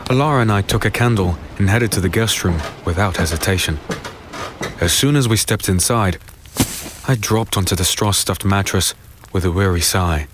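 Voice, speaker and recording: A man playing a weary, brooding fantasy hero who narrates his own dark tale in a deliberate, somber, dramatic way. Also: low voice, background noise, lightly acted. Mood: somber, tender. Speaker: narrator and lead character. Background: music.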